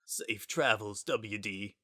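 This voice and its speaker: A man voicing a wry, laid-back skeleton who hides his grief behind casual, halting, self-deprecating talk in a story reading. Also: lowish voice, sharp tone, heavily acted. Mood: wry, accepting. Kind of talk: dramatic fan-fiction reading.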